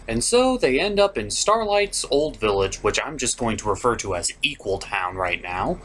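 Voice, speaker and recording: A man's voice: mid-range voice, very animated, moderate pace, a bit bright, slight background noise. A man hosting a jokey, scripted cartoon recap in a theatrical, sarcastic way. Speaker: host of a cartoon episode review.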